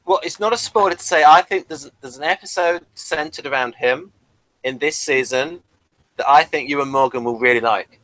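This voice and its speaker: A man's voice: on the high side, moderate pace, fairly bright tone, poor audio. A man giving casual, explanatory commentary on a TV episode, sharing background details as he goes. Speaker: host of a TV episode review commentary.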